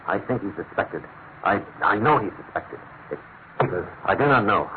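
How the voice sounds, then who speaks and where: A man playing a desperate, frightened English gentleman colonel in an old-fashioned radio drama, agitated and pleading, with a British accent. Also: on the low side, animated, moderate pace, lightly acted. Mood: remorseful confessing. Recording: tinny, narrow-band old radio, faint hiss.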